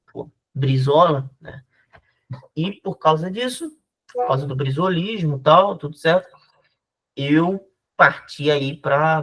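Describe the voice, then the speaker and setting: Um homem do Rio de Janeiro dá uma aula informal de história por videochamada, com a voz um pouco aguda. Fala de forma descontraída, recomeça frases e vive perguntando "tá?" aos ouvintes. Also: male voice, a little high, gravelly, slightly rough, poor audio. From Rio de Janeiro, Brazil. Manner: chatty, frequent restarts and tag questions. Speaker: history teacher giving a talk. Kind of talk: informal lecture on a video call. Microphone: video call microphone.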